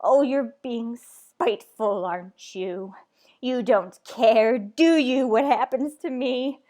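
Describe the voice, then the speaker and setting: Nervous, demanding wife played by a woman for a retro radio thriller: high voice, slow dramatic delivery, overwrought. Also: warm tone. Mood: desperate, indignant. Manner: overwrought, imperious, melodramatic. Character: frantic, nervous, demanding married woman home alone.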